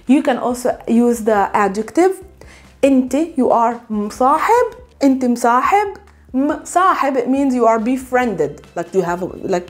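A woman in her thirties with a Palestinian accent, teaching a video language lesson in a small room on a lapel mic, animated and playful. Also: on the high side. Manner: animated, gesturing, playful asides. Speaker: Arabic teacher. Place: small room against a light backdrop.

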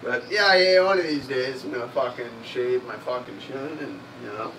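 A man's voice: mid-range voice, moderate pace, a bit bright, far from the mic, a little hiss or hum, rough recording. A young man in his twenties, swearing and rambling casually while cooking in a small home kitchen. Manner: rambling, heavy swearing and fillers. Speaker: cooking stream host.

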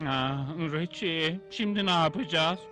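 High, flustered cartoon professor. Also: male voice, a little slow, sharp tone, faint music behind. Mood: alarmed. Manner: flustered, hesitant, exclaiming. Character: excitable, kindly, flustered older male professor inventor. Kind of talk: dubbed cartoon acting.

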